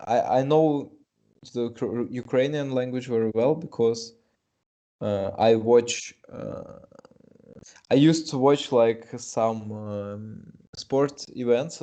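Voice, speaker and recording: A man's voice: mid-range voice, dark tone. A young man with a Russian accent, a native Russian speaker, doing live chess commentary in English, chatty and hesitant with many fillers.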